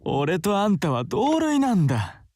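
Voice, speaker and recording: A man's voice: sits high, wildly swooping pitch, fairly quick, no echo, clean studio sound, exaggerated voice. Intense, obsessive young man. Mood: urgent, obsessive. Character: troubled young man, obsessive, craving violence. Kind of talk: Japanese visual novel acting. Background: soft game music.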